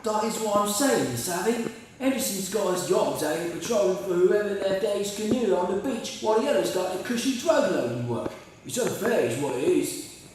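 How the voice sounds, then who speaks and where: Man playing a high-voiced grumbling henchman. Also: expressive, moderate pace, pauses often, a little mellow, a little hiss or hum, poor audio. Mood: aggrieved. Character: grumbling henchman, disgruntled male villain's lackey.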